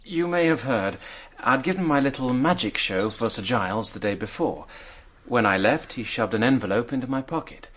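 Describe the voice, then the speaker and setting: An actor playing a hard-up, self-mocking drinker under questioning in a British radio drama, wry and hesitant. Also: male voice, medium pitch, animated, moderate pace, few pauses, fairly bright tone.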